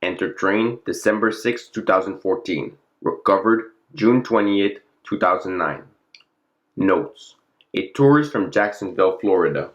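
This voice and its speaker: A man's voice: low voice, expressive, a little slow. A man narrating a fictional classified document in a formal, clinical log-reading manner. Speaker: narrator and presenter of the channel.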